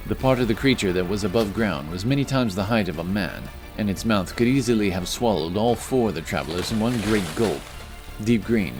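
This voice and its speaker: A man reading scripted, dramatic narration for a fantasy adventure story. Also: lowish voice.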